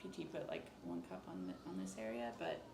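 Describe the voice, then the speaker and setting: A woman's voice: a bass voice, expressive, a little fast, creaky, noisy background. A woman talking casually as a physical therapy patient, agreeable and informal. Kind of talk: casual conversation.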